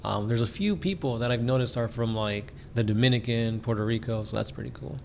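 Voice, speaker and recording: A man co-hosting a casual podcast about a tabletop war game, answering fan questions in a relaxed way, full of "like" fillers and quick laughs. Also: mid-range voice, creaky, slight background noise. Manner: laid-back, many "like" fillers, laughs often. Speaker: podcast co-host.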